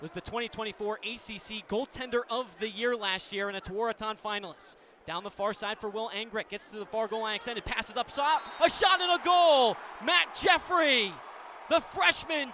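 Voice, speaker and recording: An American man doing live radio play-by-play of a game in clipped descriptive phrases, ready to burst into excited calls. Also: very high-pitched, normal speed. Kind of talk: live sports play-by-play commentary. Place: indoor stadium broadcast position. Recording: live radio with steady venue noise.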